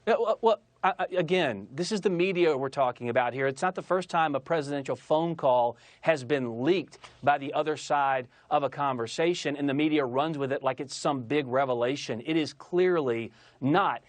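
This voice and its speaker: A man's voice: fairly high pitch, normal speed, hardly any pauses, dry recording. A man speaking as a political spokesman in a TV interview, arguing emphatically and combatively with repeated phrases for stress. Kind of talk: argumentative TV interview talking points.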